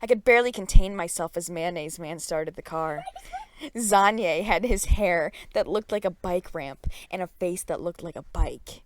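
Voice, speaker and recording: A woman's voice: fairly low pitch, moderate pace, a little hiss or hum. A woman reading a comic story aloud in a mock-dramatic, theatrical and playfully emphatic way.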